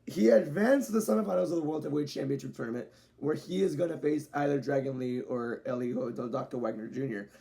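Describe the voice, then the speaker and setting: Young guy rambling through a wrestling review at home, gravelly kinda dark voice, casual and a bit sweary. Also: medium pitch, fast talker, few pauses. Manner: chatty, rambling, with restarts, laughs and swearing. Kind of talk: casual spoken review to camera. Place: home room with dogs nearby.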